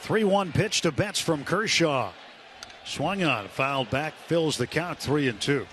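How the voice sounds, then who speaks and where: A man's voice: a little high, expressive, measured pace, a bit bright. A man doing live play-by-play baseball commentary for radio. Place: stadium broadcast booth. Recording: clear stadium noise behind. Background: stadium ambience.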